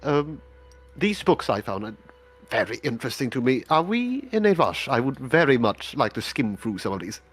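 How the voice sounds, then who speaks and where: A man's voice: medium pitch, big pitch swings, normal speed, fairly bright tone, breathy edge, faint music behind. A man roleplaying in a tabletop game, speaking in a polite and rather formal way.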